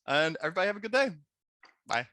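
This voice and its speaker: Male, 30s, very bright forceful voice, slow delivery, dry close headset sound, addressing children. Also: high voice, very animated, no echo. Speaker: children's book author and illustrator. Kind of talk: trivia question for children to camera. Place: small room at home.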